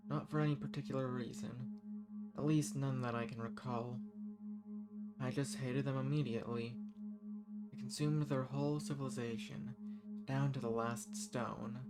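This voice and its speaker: Loud music surrounds an ancient banished villain played by a man, a living weapon with a mid-pitched voice. He is refined and wistful, dangerous but softly flirtatious. He speaks intimately, with hesitant pauses, as in a scripted roleplay audio drama. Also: lightly acted.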